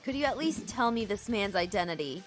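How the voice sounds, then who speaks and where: A woman's voice: medium pitch, animated, fairly quick, over music, lightly acted. A woman voicing a persistent, businesslike hospital nurse in a visual novel game. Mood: insistent. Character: insistent female hospital nurse pressing for answers.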